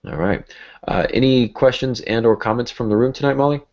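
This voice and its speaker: A man hosting a casual podcast, talking in a rambling, hesitant way with a gently joking touch. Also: medium pitch, brisk. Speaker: podcast host. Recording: dull, lo-fi podcast audio.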